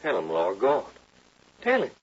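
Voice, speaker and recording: An actor playing a plain-spoken, fiercely loyal frontier bartender with folksy speech in an old-time radio western. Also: male voice, fairly low pitch, huge pitch swings, a little fast, breathy edge, full character voice. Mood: indignant. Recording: dull old radio recording.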